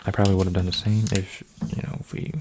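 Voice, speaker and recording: A man in his twenties, an ASMR creator, rambling softly with lots of fillers and restarts while folding paper, sometimes dropping to a whisper. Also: deep voice, flat delivery, quick pace, a little hiss or hum. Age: 20s. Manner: meandering, full of fillers and restarts. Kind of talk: soft-spoken rambling ASMR.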